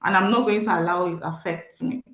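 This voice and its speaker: A woman's voice: medium pitch, a little fast, reverberant. A woman with a Nigerian accent giving an earnest, encouraging motivational talk to teenagers as a social worker at an event. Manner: earnest, encouraging, repeats phrases for emphasis. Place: event venue.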